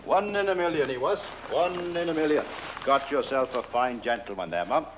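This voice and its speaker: A man's voice: mid-range voice, lively intonation, normal speed, dull audio, noisy background, natural voice. An actor playing a chirpy, sentimental, deferential working man wishing his betters well in a film drama.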